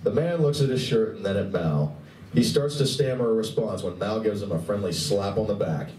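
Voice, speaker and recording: A man's voice: fairly low pitch, expressive, brisk, a little mellow, lightly acted. A man reading scripted narration for a live radio play, recorded at a distance in an echoey room. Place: large indoor room at a convention.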